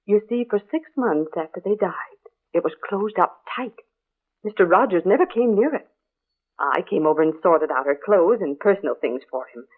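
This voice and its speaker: Gossipy older neighbor, muffled old radio. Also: female voice, on the low side, expressive, normal speed, slightly rough. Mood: ominous. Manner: chatty, exclamatory, ominous storytelling. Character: gossipy, superstitious older female neighbor with rheumatism, dramatic and morbid. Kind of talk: old-time radio drama acting. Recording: muffled, narrow-band vintage radio recording.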